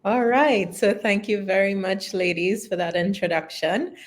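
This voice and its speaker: A woman's voice: medium pitch, steady tone, unhurried. A woman moderating a panel discussion as host.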